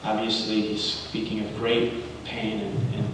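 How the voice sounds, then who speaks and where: Casting: middle-aged man from Cuba, native Spanish speaker, earnest deliberate public speaker, heard from afar in a very reverberant hall. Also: fairly low pitch, flat delivery, fairly bright tone. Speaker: guest speaker leading a congregational service. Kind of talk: sermon-like public address with readings. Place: large reverberant indoor hall, speaking to a congregation. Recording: very echoey hall, distant mic, light noise.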